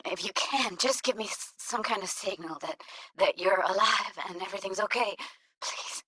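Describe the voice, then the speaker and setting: A woman's voice: medium pitch, normal speed, pushed and bright, breathy edge, dry recording, poor audio. An actress playing a tough, candid young woman technician in a video game, talking in a casual, slangy, blunt way. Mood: pleading. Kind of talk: naturalistic game voice acting.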